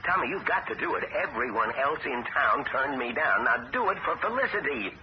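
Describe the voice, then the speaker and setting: A man's voice: mid-range voice, big pitch swings, fairly quick, natural voice. An actor playing a nervous, desperate man pleading to get a haunted house sold, stammering as he talks, in a vintage radio drama. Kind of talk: 1970s radio drama acting. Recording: muffled old radio broadcast with loud hiss.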